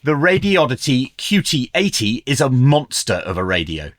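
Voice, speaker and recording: A man's voice: mid-range voice, sing-song, moderate pace, a bit bright, no room sound, studio quality. A man presenting a product review in a casual, conversational style.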